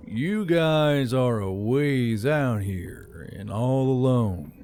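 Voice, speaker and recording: A man's voice: mid-range voice, expressive, drawn-out words, a little hiss or hum. A man voicing a wry, modest human adventurer in a fantasy audiobook, casual and questioning. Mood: curious. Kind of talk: audiobook character dialogue. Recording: soft music bed behind.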